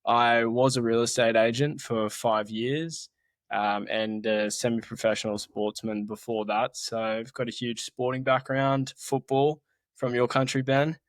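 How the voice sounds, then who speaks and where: A man's voice: medium pitch, level tone, measured pace, no room sound. A young Australian man with an Australian accent, a guest talking about his life in a casual interview, earnest and laid-back. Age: young adult. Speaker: mountaineer guest.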